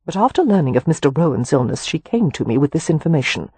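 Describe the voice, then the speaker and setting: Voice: mid-range voice, fast, breathy edge, no room sound. Narrator doing a prim, acerbic governess. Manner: acerbic, calm, sarcastic. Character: prim, sharp-tongued young governess. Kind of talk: audiobook character voice.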